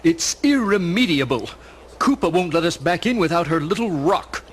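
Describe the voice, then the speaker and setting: A man's voice: a little high, expressive, normal speed, a little hiss or hum, natural voice. A man playing a wordy, pedantic comic henchman in a radio play. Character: henchman with absurdly erudite vocabulary.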